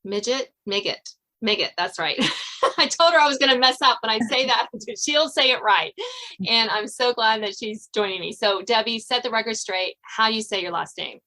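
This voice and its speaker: Bright-voiced woman hosting a podcast. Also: on the high side, poor audio. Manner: chatty, self-deprecating. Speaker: podcast host. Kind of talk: casual interview chat.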